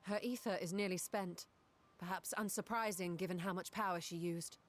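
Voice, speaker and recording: A woman's voice: on the low side, steady tone, moderate pace, faint music behind, lightly acted. A woman acting a composed, observant scholar in a video game cutscene, speaking in a formal, analytical way. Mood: calm concern.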